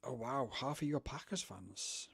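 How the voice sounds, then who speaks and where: Husky-voiced man chatting on livestream. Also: mid-range voice, animated, moderate pace, a bit bright. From United Kingdom. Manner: rambling, chatty, self-deprecating. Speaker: livestream host. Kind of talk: casual livestream chat with viewers. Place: home studio.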